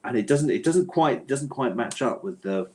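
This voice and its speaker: A man's voice: mid-range voice, expressive, normal speed, darkish tone. A man speaking as an interviewed author in a conversational, discursive way, often interrupting himself and repeating words.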